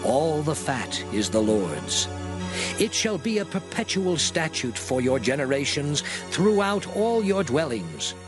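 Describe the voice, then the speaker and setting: An actor playing a solemn lawgiving voice in a dramatized Bible reading, declaiming scripture. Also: male voice, on the high side, very animated, unhurried. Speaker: scripture reader. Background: music under the voice.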